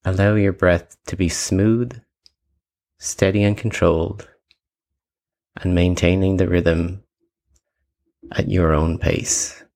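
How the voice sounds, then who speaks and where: A man leading a guided meditation with calm, measured instructions and long pauses between phrases. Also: low voice.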